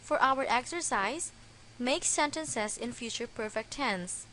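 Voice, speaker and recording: A woman narrates a grammar lesson at a very unhurried pace. Her voice sits somewhat high and stays careful and deliberate throughout. A faint hiss runs under the recording. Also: animated, pushed and bright, poor audio. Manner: slow, careful, deliberate delivery. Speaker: English grammar teacher.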